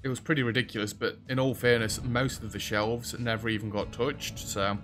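A young British man with a rather deep voice, chatting casually over a game as host and joking with the characters on screen. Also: level tone, brisk, a bit bright, soft background music. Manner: chatty, self-deprecating, talks to game characters. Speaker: gaming video host. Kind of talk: casual let's-play commentary. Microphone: headset.

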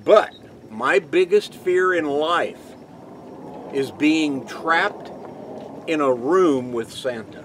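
Animated, playful older man joking outdoors. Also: on the high side, takes it slowly, pauses often. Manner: jokey, playful, folksy. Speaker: vlog co-host. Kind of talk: casual joking chat. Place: outdoors in open desert beside an RV. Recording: outdoor ambient noise.

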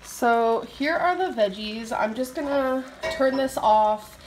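A woman's voice: on the high side, roomy sound, slight background noise, low-quality recording. A young woman vlogging casually at home in her kitchen, talking into a handheld phone camera and laughing easily. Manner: chatty, quick to laugh. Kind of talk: casual home vlog chat.

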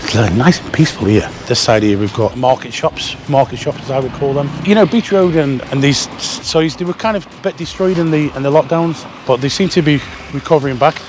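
A man's voice: on the high side, fairly quick. A man in his fifties walking city streets and chatting casually as he points out shops and bars for his vlog. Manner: chatty, pointing things out as he walks. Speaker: vlogger walking tour guide. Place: outdoors on a busy city street. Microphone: action camera worn or handheld. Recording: background music under street sound.

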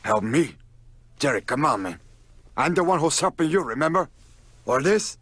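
An actor playing a brash, menacing Cuban gangster boss in a video game, with a cocky, profane swagger. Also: male voice, on the high side, very animated, normal speed, fairly bright tone, slightly rough, dry recording, full character voice. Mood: sly, needling. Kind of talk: video game cutscene acting.

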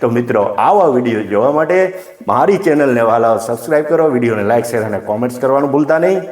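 A Gujarati man tells an instructive tale in a conversational style. He explains things plainly and repeats phrases to drive them home. His mid-pitched voice sits in a noticeably echoey room. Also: lively intonation, fast, darkish tone. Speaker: storyteller and channel host. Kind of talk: informal moral storytelling to viewers. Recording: echoey, roomy sound.

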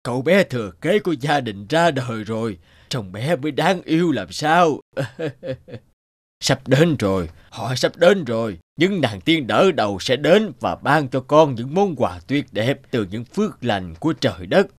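A gentle old guardian spirit. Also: male voice, mid-range voice, sing-song, a little slow, dry recording. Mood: cheerful. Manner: gentle, patient, fatherly. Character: kindly old male family guardian spirit. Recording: studio voice-over with loud music.

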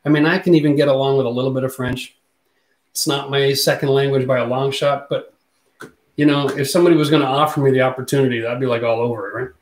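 A man's voice: medium pitch, fairly even intonation, quick pace, roomy sound. A man in his forties hosting a casual livestream, chatting with viewers and reading their comments aloud. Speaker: channel host. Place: home studio room. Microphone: studio mic on boom arm.

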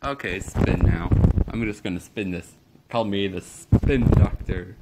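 A man's voice: deep voice, measured pace, heavy background noise. A young man casually commenting over a game, rambling and joking, mumbling through on-screen text. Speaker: gameplay video maker.

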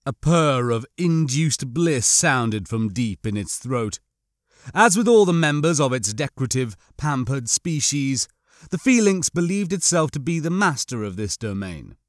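A man reading a science fiction audiobook as scripted, expressive narration. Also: medium pitch, unhurried, dry recording, clean studio sound.

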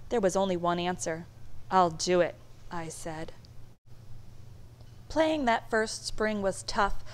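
A woman reading a children's storybook aloud in a measured, expressive storytelling manner. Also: lowish voice, slight background noise. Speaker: storybook reader. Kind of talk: read-aloud children's book narration.

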